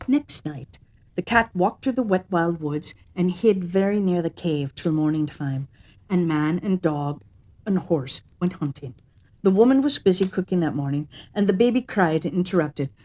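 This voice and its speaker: A woman storyteller narrating a children's tale expressively, with a measured storytelling cadence. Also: lowish voice, a little mellow.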